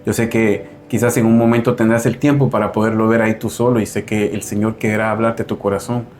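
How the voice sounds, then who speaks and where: A man with a fairly low voice speaks as host of a religious program. He talks earnestly, like a preacher, and keeps checking in with "right?". A faint rumble of noise sits under the recording. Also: flat delivery, fairly quick, a little mellow. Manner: earnest, preacher-like with frequent "¿verdad?".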